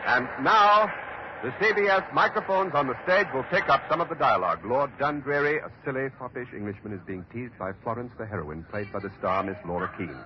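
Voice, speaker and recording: An actor playing an earnest, formal American radio reporter giving commentary at the scene, on a very noisy 1940s recording. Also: male voice, medium pitch, expressive, highs cut off, close to an everyday voice. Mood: measured commentary. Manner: formal, measured, announcer-like.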